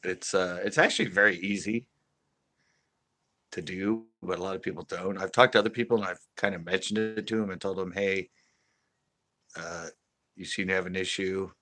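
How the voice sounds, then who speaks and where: A man's voice: low voice, fairly even intonation, moderate pace, pauses often, bright, forceful tone, dry recording. A man hosting a fishing channel, chatting casually on a live stream, rambling with many fillers and restarts and laughing often.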